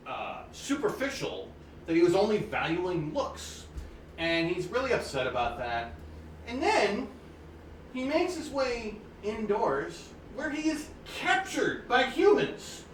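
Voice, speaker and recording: A man's voice: high voice, fairly bright tone, a little hiss or hum. A pianist at a recital, telling the stories behind each piece in a conversational way with asides to his listeners. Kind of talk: informal spoken program notes between pieces. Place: indoor room with air conditioning. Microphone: phone with USB microphone, placed away from speaker. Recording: roomy and distant with faint ambience.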